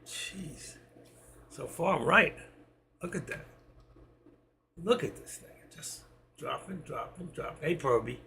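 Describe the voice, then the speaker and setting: A man hosting a live trading stream, talking to viewers while he watches the charts, with quiet asides between his sign-offs. He is losing his voice. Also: fairly high pitch, animated, slow pace, vocal fry, long pauses, a little hiss or hum. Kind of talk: live market commentary to viewers.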